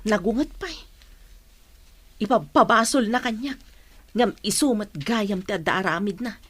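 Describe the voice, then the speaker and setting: A woman's voice: mid-range voice, normal speed, background noise, natural voice. An actress playing a worried, long-suffering mother in a radio drama, concerned and plain-spoken, with a Filipino accent. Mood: resigned. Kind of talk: Ilocano radio drama acting.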